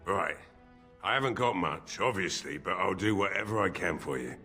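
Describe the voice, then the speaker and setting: Hard-drinking fantasy game smith: world-weary, grudging, plain-spoken, lowish and forceful. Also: male voice, animated, normal speed, breathy edge, soft background music, exaggerated voice. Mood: weary, obliging. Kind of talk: fantasy video game acting.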